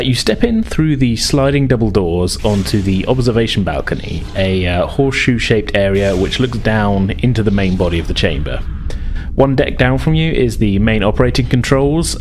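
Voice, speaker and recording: A man acting as game master, improvising descriptions and chatting in a halting, conversational way. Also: lowish voice, a little mellow, slight background noise. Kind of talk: improvised tabletop game narration and chat.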